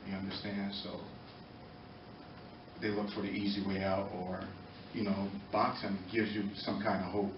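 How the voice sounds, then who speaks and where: Low, even-voiced American man in echoey room. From Norwalk, Connecticut, United States. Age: middle-aged. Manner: earnest, halting, pausing between short phrases. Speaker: former boxer speaking in support of a youth program. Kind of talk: impromptu public testimony at a committee meeting. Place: indoor meeting room, far from the mic. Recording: echoey, muffled, distant, noisy room recording.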